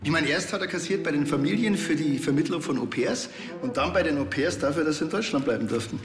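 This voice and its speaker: A man's voice: on the high side, expressive, fast talker. An actor playing a dry, impatient policeman with a Bavarian accent in a TV crime comedy. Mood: brisk. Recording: roomy sound with music.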